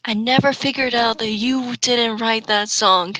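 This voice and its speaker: A woman's voice: on the high side, steady tone, measured pace, sharp tone. A woman co-hosting a comedic dramatic reading of fan fiction, adding sarcastic asides.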